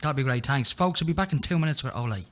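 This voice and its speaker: A man with an Irish accent hosting a radio interview in an informal, conversational way, with hesitant restarts, over a noisy line with low rumble. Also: expressive, fast, fairly bright tone. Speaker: radio show host.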